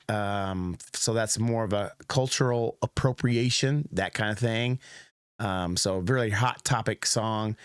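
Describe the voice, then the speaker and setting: A middle-aged man from the United States talks through his thoughts in a loose, unhurried way, full of ums and restarts. His voice sits on the low side. The sound is dry, with little room around it. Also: smooth, no echo. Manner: rambling and reflective, many fillers and restarts. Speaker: reaction video host. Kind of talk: casual commentary.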